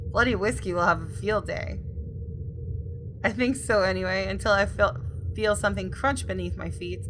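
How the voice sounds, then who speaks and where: A woman gaming host reading visual novel narration aloud in an expressive, storytelling way with casual asides. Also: medium pitch, background noise.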